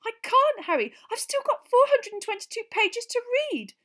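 A woman voicing a studious teenage girl speaking in a strained, high-pitched way. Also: sing-song, moderate pace, bright, forceful tone, exaggerated voice. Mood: defensive. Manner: strangely high-pitched, tense.

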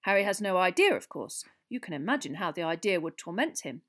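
A woman voicing a pompous older male government minister, gruff and confiding, in a storybook reading. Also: fairly low pitch, very animated, a little fast, fairly bright tone. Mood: grave, confiding.

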